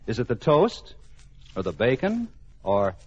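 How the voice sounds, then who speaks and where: A man's voice: medium pitch, huge pitch swings, measured pace, darkish tone, husky. A man with an American accent announcing and narrating a scripted 1940s radio comedy. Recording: muffled old radio recording.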